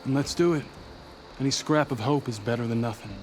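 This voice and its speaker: A man's voice: mid-range voice, brisk, faint music behind, natural voice. An actor playing an earnest, guilt-worn male fugitive in a naturalistic video game performance, somber in manner. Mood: somber, hopeful.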